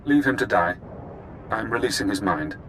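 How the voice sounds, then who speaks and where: Sinister game mastermind: very low voice, cool, measured, calculating, over a faint rumble. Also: male voice, lively intonation, a bit bright. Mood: clinical. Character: calculating, sinister male genetically engineered intelligence prisoner. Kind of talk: video game voice acting.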